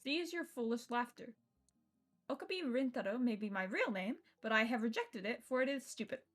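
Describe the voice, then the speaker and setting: A woman's voice: fairly high pitch, expressive, normal speed, fairly bright tone, soft background music. A woman reading aloud the lines of a grandiose young man who calls himself a mad scientist, in a voice close to her own. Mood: pompous.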